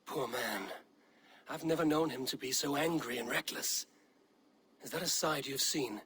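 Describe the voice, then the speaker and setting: An actor playing a steady, diplomatic Viking warrior chieftain in a video game, measured and dryly wry. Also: male voice, mid-range voice, a little hiss or hum, lightly acted. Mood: grave. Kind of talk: dramatic video game acting.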